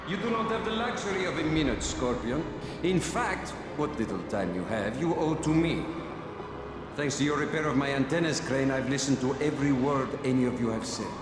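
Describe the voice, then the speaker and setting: A man's voice: mid-range voice, expressive, brisk, lightly acted. A man playing a menacing, smug warlord in a video game, speaking in a grandiose, mocking way. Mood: gloating. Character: menacing, theatrical male warlord, smug and taunting. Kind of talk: video game cutscene acting. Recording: echoey room with loud music.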